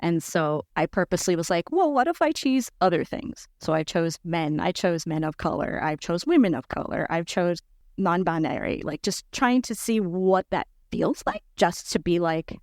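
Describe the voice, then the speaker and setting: Squeaky-voiced woman, animated chat. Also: normal speed, no echo, clean studio sound. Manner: animated, jumps high in pitch when quoting herself. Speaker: podcast guest. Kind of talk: casual chat.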